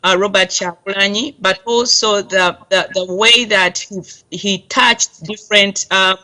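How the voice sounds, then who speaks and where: A woman's voice: medium pitch, steady tone, a little slow, pushed and bright, poor audio. A middle-aged woman from Uganda with a Ugandan accent, giving an earnest, rallying interview answer as a diaspora campaign volunteer.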